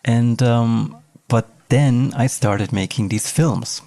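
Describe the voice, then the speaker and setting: A man's voice: mid-range voice, slow, vocal fry, warm tone. A man speaking conversationally as the host of an interview podcast.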